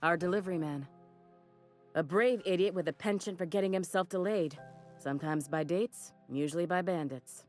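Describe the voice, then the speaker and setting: An actress playing a brisk, no-nonsense space station trader in a video game, wry, businesslike and faintly exasperated. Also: female voice, lowish voice, a little mellow, soft background music, close to an everyday voice. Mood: wry exasperation. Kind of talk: video game dialogue acting.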